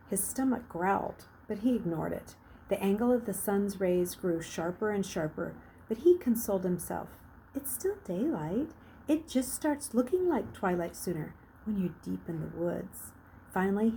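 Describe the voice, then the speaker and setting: A woman's voice: medium pitch, dark tone, slight background noise, poor audio. Woman reading a story aloud expressively. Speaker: teacher reading aloud to children.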